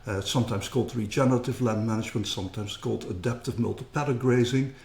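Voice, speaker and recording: Middle-aged dutch guy, gravelly voice, earnest interview chat, echoey room. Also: mid-range voice, fast talker, darkish tone. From Netherlands. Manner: earnest, repeats words when starting a thought. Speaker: guest, company co-founder.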